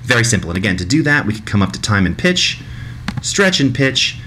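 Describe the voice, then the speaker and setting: Animated low-voiced man presenting a tutorial. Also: brisk, a little hiss or hum. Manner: animated, demonstrative, mimics sounds vocally. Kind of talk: conversational screencast tutorial narration. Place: small room indoors.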